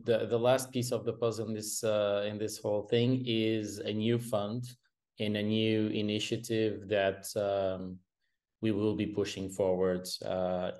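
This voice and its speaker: A man's voice: fairly low pitch, darkish tone. A man, a venture capital guest talking over a video call in a hesitant way, with many restarts. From Portugal. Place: home room with painting behind.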